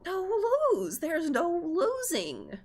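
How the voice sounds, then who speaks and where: A woman livestreaming a visual novel, chatting and reacting aloud to the characters in an informal, expressive way. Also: sits high, takes it slowly. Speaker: streamer playing a visual novel.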